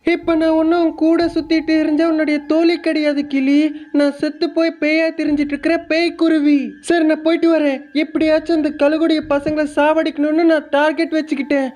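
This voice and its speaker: A man as a high-pitched vengeful ghost sparrow. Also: little pitch movement, fairly quick, darkish tone. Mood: menacing. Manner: menacing, blunt. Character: vengeful dead sparrow turned menacing ghost.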